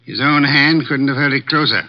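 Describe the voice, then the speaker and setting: A man's voice: medium pitch, moderate pace, natural voice. An actor playing a pompous, commanding male detective in a 1950s radio drama, speaking in formal, pedantic sentences, on a muffled old broadcast recording. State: short of breath.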